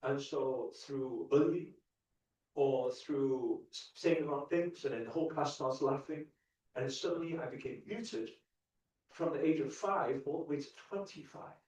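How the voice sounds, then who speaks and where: A man's voice: a little high, unhurried, a little mellow, far from the mic. A young man from the UK giving a live stage talk to an audience, earnest, asking the crowd questions and often restarting his sentences. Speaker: motivational speaker. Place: large indoor event hall. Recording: echoey hall, mic heard from afar.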